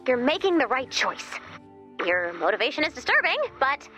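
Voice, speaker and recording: A woman voicing an excitable, scatterbrained female robot assistant in a video game, babbling cheerfully and awkwardly. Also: high-pitched, normal speed, soft background music, exaggerated voice. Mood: awkward, uneasy. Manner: chirpy, babbling, repeats words, awkward. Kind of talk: video game character dialogue.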